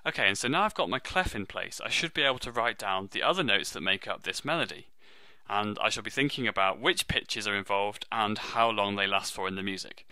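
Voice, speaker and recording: A man with a low, deep voice and a strikingly bright, crisp tone teaches music theory. He explains each step in a friendly, modest way. The recording is close and dry, with no room sound. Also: fairly quick, no echo. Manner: friendly, self-deprecating, steady explaining while drawing. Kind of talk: online music theory lesson.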